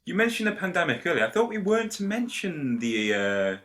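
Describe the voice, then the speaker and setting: A man chats casually as a podcast co-host, teasing and bantering. His voice is bright and his pitch moves around in a lively way. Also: a little fast. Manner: joking, teasing.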